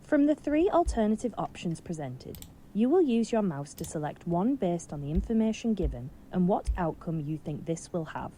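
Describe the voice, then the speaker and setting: Mellow, expressive low-voiced female game narrator. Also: moderate pace. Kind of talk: scripted instructional narration. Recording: game audio with background noise.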